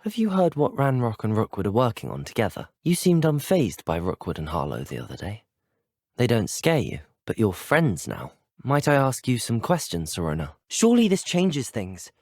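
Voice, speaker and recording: An actor playing an earnest, brave, well-spoken teenage student wizard in a video game. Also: male voice, mid-range voice, wildly swooping pitch, moderate pace, a little mellow, no echo, clean studio sound. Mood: earnest, measured. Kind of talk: video game dialogue clips.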